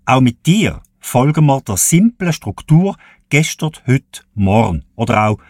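A man's voice: mid-range voice, sing-song, a little slow, darkish tone, no echo, studio quality. A man hosting a radio interview, asking friendly, prompting questions. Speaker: radio host.

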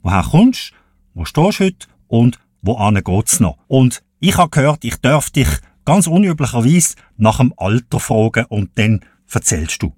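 A man's voice: mid-range voice, very animated, a little slow, darkish tone, husky, dry recording, studio quality. A man hosting a radio interview, asking friendly, prompting questions. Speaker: radio host.

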